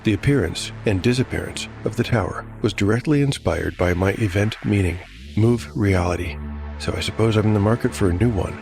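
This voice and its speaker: A man narrates a dark fantasy audiodrama in a low voice. His delivery is measured and literary, with soft music underneath. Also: vocal fry. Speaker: narrator and solo game master.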